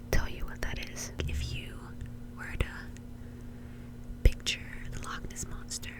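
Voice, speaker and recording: A woman telling a story in a soft, breathy ASMR whisper, in a casual, chatty way. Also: poor audio. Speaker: ASMR video host.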